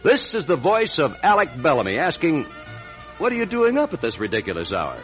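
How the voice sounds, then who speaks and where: Flamboyant male radio star, theatrical and self-absorbed, very varied pitch, radio drama, dull lo-fi sound. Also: wildly swooping pitch, fairly quick, fairly bright tone, soft background music. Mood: showy. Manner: theatrical, mock-gallant and grandiose.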